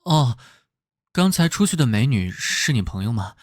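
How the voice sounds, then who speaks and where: A man playing an earnest police detective who gets flustered and jealous in private, in an animated drama. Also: mid-range voice, normal speed. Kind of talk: animated drama voice acting with inner monologue. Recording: loud background music under the voice.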